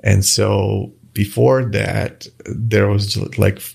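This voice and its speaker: A man's voice: fairly low pitch, little pitch movement, takes it slowly, creaky, darkish tone, slightly rough. A middle-aged man from Croatia, a native Croatian speaker, answering interview questions in a hesitant, casual way with many restarts. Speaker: guest, watch face designer.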